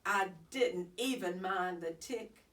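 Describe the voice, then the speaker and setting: A woman narrating a children's story expressively, with deliberate, dramatic phrasing. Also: medium pitch, fairly bright tone. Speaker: storybook reader. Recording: slightly distant microphone.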